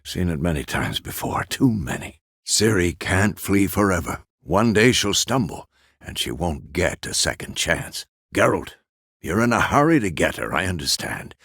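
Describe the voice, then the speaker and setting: An aging monster hunter from a fantasy game, weary but steadfast. The voice is low and gravelly, with wide swings of pitch and a close, dry sound. He speaks in terse, measured phrases with a gruff fatherly authority. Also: sing-song, fairly bright tone, no echo, exaggerated voice. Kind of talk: fantasy video game character dialogue.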